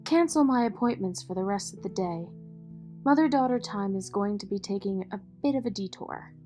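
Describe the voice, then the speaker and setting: A woman acting in an audio drama as a poised, protective, wealthy mother and executive, measured, polished and dryly witty. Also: mid-range voice, expressive, warm tone, natural voice. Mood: brisk, affectionate. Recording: music behind the voice.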